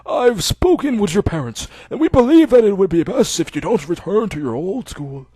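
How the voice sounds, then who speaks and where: A gently formal older doctor, as a man puts him on, speaking in a measured, reassuring bedside tone. The voice sits a little higher than the reader's own and swings freely in pitch. It is recorded close and dry. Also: big pitch swings, slightly rough, dry recording, full character voice. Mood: calm.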